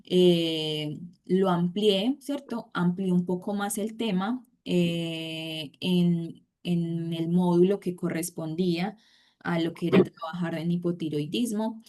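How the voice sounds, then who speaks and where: A woman's voice: fairly low pitch, steady tone, slow pace, dark tone. A woman teaching an online class, talking conversationally with many fillers and tag questions. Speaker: course instructor.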